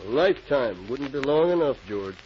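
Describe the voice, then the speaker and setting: A man's voice: medium pitch, lively intonation, moderate pace, music in the background, close to an everyday voice. A man playing an earnest, determined frontier settler with a folksy, emphatic manner in an old radio drama. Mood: earnest, insistent. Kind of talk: 1940s radio acting. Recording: muffled, noisy old radio recording.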